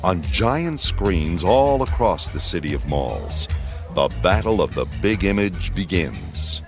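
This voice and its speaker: A man narrating a radio drama as a wry storyteller, with deliberate, theatrical delivery. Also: low-pitched, sing-song, music in the background, exaggerated voice. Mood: dramatic.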